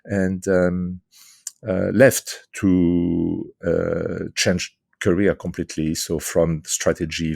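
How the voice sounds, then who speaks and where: A middle-aged Frenchman with a very deep, gravelly voice speaks with a French accent. He answers slowly and thoughtfully, with lots of hesitations and restarts. The sound is dry and close. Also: a little mellow, dry recording. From France. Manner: hesitant, many fillers and restarts. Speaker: guest, author and leadership coach.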